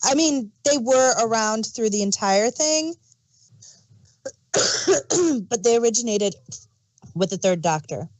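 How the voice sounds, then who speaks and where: A woman's voice: fairly high pitch, expressive, a little slow, long pauses, a little mellow, no room sound, rough recording. A woman co-hosting a review podcast, explaining details in casual chat and correcting herself as she goes. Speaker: podcast co-host reviewing audio dramas.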